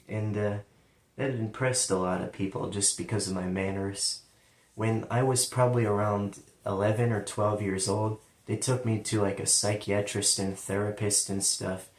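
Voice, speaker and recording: Ohio-accented man, lowish voice, casual rambling storyteller, occasional W-for-L slip, sits back from the mic. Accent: central Ohio. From London, Ohio, USA. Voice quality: sometimes turns L into a W sound. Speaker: vlogger telling his life story. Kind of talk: personal storytelling monologue. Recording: roomy, distant from the mic.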